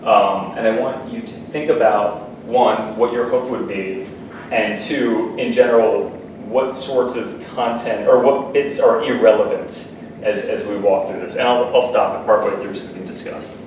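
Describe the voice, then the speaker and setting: A man's voice: fairly low pitch, steady tone, moderate pace. Man teaching a workshop in an echoey room. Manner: conversational, checks in with listeners. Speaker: workshop presenter. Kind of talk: casual teaching talk to a class. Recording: echoey room, distant mic, background noise.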